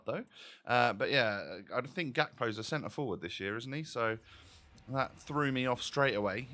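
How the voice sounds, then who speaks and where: A man's voice: mid-range voice, sharp tone. A man hosting a gaming video with casual, chatty, rambling and self-deprecating commentary.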